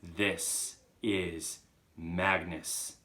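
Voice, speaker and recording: A man voicing a menacing male ghost with slow, deliberate, drawn-out commands in a storybook reading. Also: sits low, flat delivery, pushed and bright. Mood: menacing, commanding.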